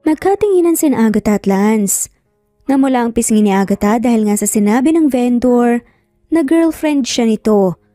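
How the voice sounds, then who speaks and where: A woman narrates a scripted romance tale in a soft, mellow voice that sits a little high. The sound is somewhat roomy, with music underneath. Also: lively intonation, moderate pace, clean studio sound. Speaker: storyteller.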